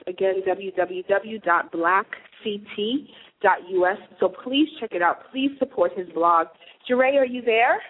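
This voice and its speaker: A woman hosting a live talk radio show speaks in a chatty, earnest way. Her voice comes through narrow and phone-like, and she sits at a mid pitch. She moves from topic to topic and introduces guests. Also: measured pace, slightly rough. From New Jersey, USA. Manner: chatty, earnest, warm asides.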